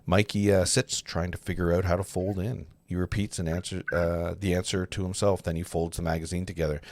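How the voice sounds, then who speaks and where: A man narrating a film script at a live table read, halting and often correcting himself. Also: sits low, little pitch movement, a little mellow, no echo. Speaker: narrator reading stage directions.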